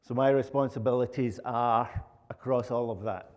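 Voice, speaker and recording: A man's voice: mid-range voice, steady tone, normal speed, darkish tone. Glaswegian man presenting in a reverberant hall. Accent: Glaswegian Scottish. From Glasgow, Scotland. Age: middle-aged. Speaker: conference speaker, lead software architect. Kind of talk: conference presentation. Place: conference room, indoors. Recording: echoey hall with long reverb.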